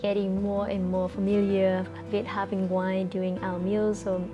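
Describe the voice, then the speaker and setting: A woman's voice: medium pitch, completely flat delivery, normal speed, dull audio. Woman chatting over music. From Vietnam. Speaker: host asking questions. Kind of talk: casual conversational interviewing.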